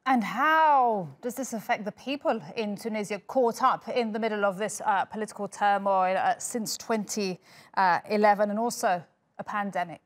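A woman's voice: medium pitch, moderate pace. A woman anchoring a live news programme, asking the guest questions in a polished, formal way.

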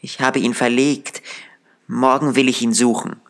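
A man's voice: mid-range voice, flat delivery, measured pace, pitched above the performer's own voice, lightly acted. A frightened young wife from a storybook, played by a male narrator. The voice is lifted well above his own, anxious and pleading.

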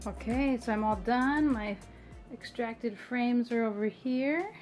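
A woman casually narrating as she works at home, explaining each step in a relaxed, chatty way. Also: a little high, slow, music in the background. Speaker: homesteading vlogger. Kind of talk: casual narration while working. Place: home kitchen indoors.

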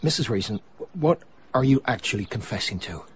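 An actor playing a polite, earnest police detective in a radio drama, speaking formally. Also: male voice, mid-range voice, expressive, a little fast, lo-fi sound, a little hiss or hum, lightly acted. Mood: earnest, official.

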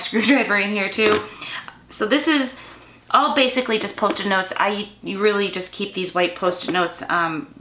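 Chatty woman in an echoey craft room. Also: medium pitch. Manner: chatty, self-correcting, relaxed. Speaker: craft channel host. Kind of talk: casual show-and-tell narration. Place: home craft room, indoors. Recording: bright echoey room with faint noise.

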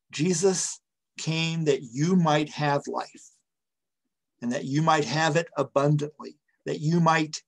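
A man in his sixties preaching a reflective sermon as a pastor, speaking deliberately in short phrases with pauses, into a computer microphone in a small room. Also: fairly high pitch, vocal fry, slightly rough. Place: small room at home, indoors. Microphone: computer webcam or video call microphone.

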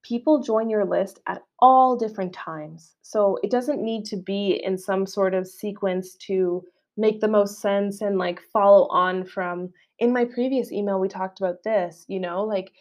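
A woman's voice: mid-range voice, fairly even intonation, unhurried, few pauses, darkish tone. A young woman with a Canadian accent, hosting a solo podcast in a casual, chatty way with frequent fillers. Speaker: podcast host.

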